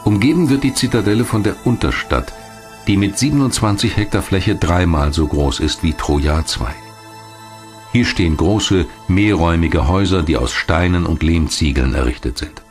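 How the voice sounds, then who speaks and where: A man reading scripted documentary narration in a measured, clearly articulated storytelling manner. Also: deep voice. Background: music behind the voice.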